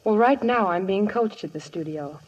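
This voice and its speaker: A woman's voice: medium pitch, animated, moderate pace, a little mellow, dull audio. An eighteen-year-old woman speaking as a guest actress in a scripted 1950s radio interview.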